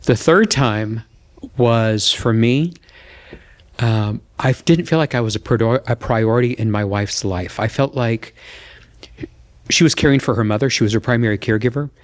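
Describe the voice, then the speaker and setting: An older man talking earnestly and plainly as a contestant on a dating show, explaining himself in a measured way. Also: medium pitch.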